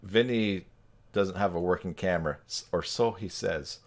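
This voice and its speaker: Guy with a lowish voice hosting a relaxed video call chat, dry joker. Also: normal speed. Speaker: host of a live stream. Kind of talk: casual live-stream chat.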